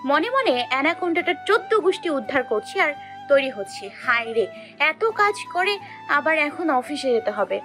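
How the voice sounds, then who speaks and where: Lady doing a feisty sulky young wife, high girlish voice, super animated, grumbly, romance story. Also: normal speed, music in the background. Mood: embarrassed grumbling. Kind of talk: dramatized first-person romantic audio story.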